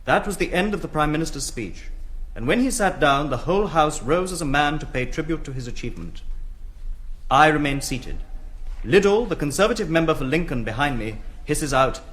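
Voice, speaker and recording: An English actor playing a grave parliamentary diarist, reading his memoir in a measured, precise manner. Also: on the high side, expressive, a bit bright. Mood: grave recollection.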